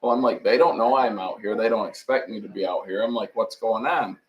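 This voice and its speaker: A man with a loud voice hosting a casual livestream chat, telling stories in a chatty, teasing way. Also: lowish voice, fairly quick, lo-fi sound. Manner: chatty, teasing, laughs often.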